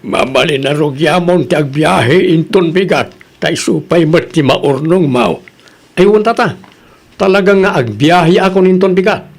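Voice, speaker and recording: A man's voice: fairly high pitch, a little slow. A Filipino man playing a cocky, hot-tempered jeepney driver in an Ilocano comedy radio drama, brash and boastful in manner. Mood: chatty, agreeable. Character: cocky, hot-headed jeepney driver son-in-law, proud and defiant.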